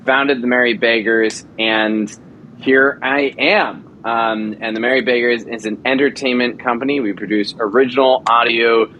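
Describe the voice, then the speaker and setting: Upbeat male guest, faint rumble behind. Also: fairly even intonation, normal speed, sharp tone, lo-fi sound. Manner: enthusiastic storyteller with frequent fillers. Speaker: actor and audio drama producer. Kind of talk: casual interview chat.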